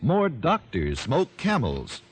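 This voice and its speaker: A man's voice: medium pitch, huge pitch swings, takes it slowly, slightly rough. A man announcing a scripted 1940s radio commercial with formal emphasis.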